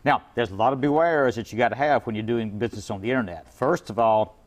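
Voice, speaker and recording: A man's voice: mid-range voice, quick pace. A man who owns an import car shop, giving folksy, plain-spoken advice as a guest in a TV interview, with frequent restarts.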